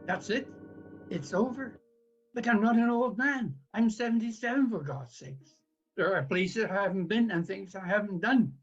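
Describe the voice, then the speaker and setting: A man's voice: high-pitched, moderate pace, breathy edge, lo-fi sound. A man in his seventies playing a proud, resentful elderly husband in an audio play, protesting indignantly. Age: 70s. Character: proud, resentful 77-year-old husband losing his driving. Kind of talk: audio play stage acting.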